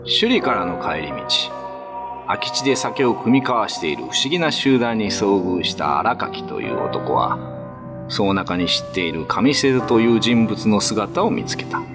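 A man narrating a ghost tale for a radio drama, in a dramatic scripted storytelling style. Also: fairly low pitch. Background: music.

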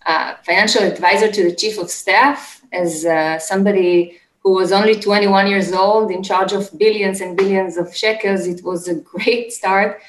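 A middle-aged woman with an Israeli accent, answering interview questions conversationally in a halting, searching way. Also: mid-range voice, steady tone, roomy sound, rough recording. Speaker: interview guest, startup executive. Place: home office, remote call.

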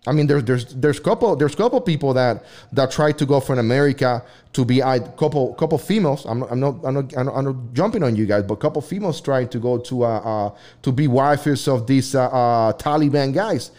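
A man's voice: mid-range voice, brisk, few pauses, a little mellow. A man hosting a casual debate chat, rambling with frequent restarts and swearing freely.

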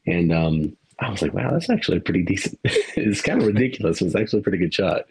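A man's voice: sits low, big pitch swings, quick pace, creaky, slight background noise, poor audio. A man co-hosting a podcast, rambling through a movie review in casual chat, laughing and swearing now and then. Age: adult parent. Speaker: podcast co-host.

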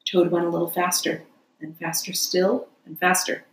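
A woman's voice: fairly low pitch, little pitch movement, moderate pace, echoey room, poor audio. A woman narrating a classic children's story expressively, as an audiobook reader.

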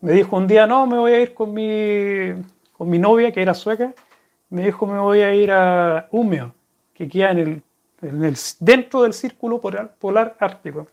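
A man's voice: high voice, normal speed, a little mellow, lo-fi sound. A man with a Chilean accent, chatting casually to viewers and answering their questions in a rambling, friendly way. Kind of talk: casual chat to camera.

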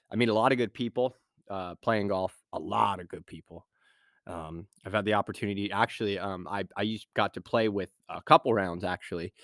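Male podcast host, low-pitched, scratchy from a cold, casual rambling delivery, dry studio sound. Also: a bit bright, smooth, dry recording. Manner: casual, rambling, with frequent fillers and restarts. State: scratchy voice from a sinus infection. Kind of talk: solo podcast Q&A.

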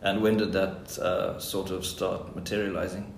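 A man's voice: low-pitched, level tone, moderate pace, gravelly, slight background noise. A man hosting a podcast, moving between scripted narration and informal interviewing. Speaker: podcast host.

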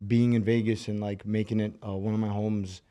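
Young man, from Russia, raised in Brooklyn, dark mellow voice, relaxed casual talk, halting with restarts. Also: on the low side. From born in Russia, raised in Brooklyn. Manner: relaxed, hesitant, many restarts and "like". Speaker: UFC fighter and podcast guest. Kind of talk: casual podcast chat.

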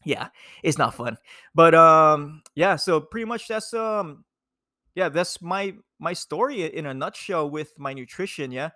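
A man's voice: on the high side, lively intonation, measured pace, pushed and bright, dry recording, clean studio sound. A young man from Hawaii, a nutrition coach, talking casually to viewers in a laid-back, rambling way full of fillers and "dude".

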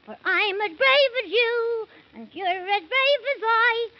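A woman's voice: extremely high pitch, expressive, slow, exaggerated voice. A woman playing a plucky, cheerful six-year-old boy who talks in sing-song rhymes in a 1930s radio serial. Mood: brave, cheerful. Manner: sing-song rhyming delivery. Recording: muffled, noisy 1930s radio recording.

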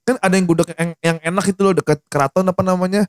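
A young man talking casually with friends, loose and slangy, quick to laugh. His voice sits fairly high. The sound is dry and close, with no room around it. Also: fairly even intonation, clean tone, dry recording. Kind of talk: casual chat.

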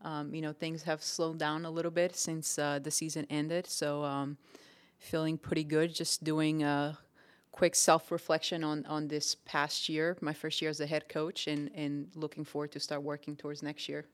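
A young woman from Portugal speaks, answering a podcast host's questions about her tennis life. Her voice is smooth and clear, her intonation fairly level, and she pauses often with ums as she gathers her thoughts. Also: deep voice, flat delivery. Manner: thoughtful, many ums and restarts. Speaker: tennis coach. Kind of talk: casual interview answers.

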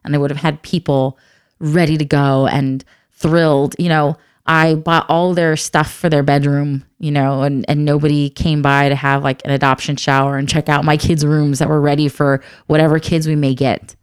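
Woman, thirties, low voice, smooth tone, dry close sound, earnest, reflective. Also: flat delivery, normal speed, no room sound. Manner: earnest, reflective, soft-spoken. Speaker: adoptive mother and guest. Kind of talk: candid conversational chat.

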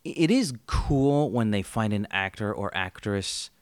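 A man co-hosting a podcast in casual chat, animated and opinionated, often jumping in mid-thought. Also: lowish voice, a little slow, clear, resonant tone, no echo. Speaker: podcast co-host.

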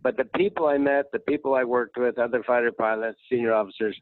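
A man's voice: medium pitch, quick pace, no echo. A man in his sixties tells his story plainly and easily, heard over a thin, tinny phone line. The sound is dry, with no room around it. He talks like someone at ease recalling his career. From United States. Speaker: guest. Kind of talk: casual interview talk.

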